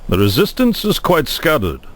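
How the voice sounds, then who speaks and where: An actor playing a sly, bargaining resistance agent in a medieval video game, genial yet calculating. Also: male voice, a little high, wildly swooping pitch, moderate pace. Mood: wry, concerned. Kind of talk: scripted video game voice acting.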